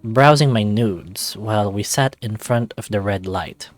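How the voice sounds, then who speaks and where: A man's voice: on the low side, a little slow, no room sound. A man reading a visual novel aloud as narrator. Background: music.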